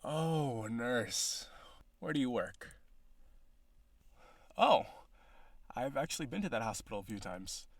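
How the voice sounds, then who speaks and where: A man's voice: mid-range voice, big pitch swings, slow pace, pauses often, dry recording, full character voice. A man playing a cocky, flirtatious businessman villain on a date in an audio roleplay, with a sardonic, teasing, conversational delivery. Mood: flirty, teasing.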